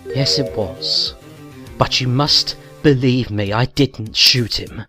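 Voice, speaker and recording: An actor playing a stern, reserved male prosecutor on trial, with a measured, cold delivery in a fan audio drama. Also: medium pitch, sharp tone, faint music behind. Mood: guarded. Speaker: defendant.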